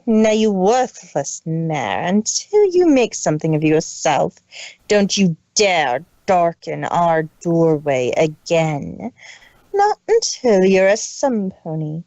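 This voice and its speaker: A man performs a cold, haughty pony mare, the cruel older sister of a storybook tale. The voice is pitched up and swoops widely, drawing out each scornful word with slow contempt. It sounds dark and slightly muffled, in a dry recording. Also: no room sound, exaggerated voice. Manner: contemptuous, drawn-out, scolding.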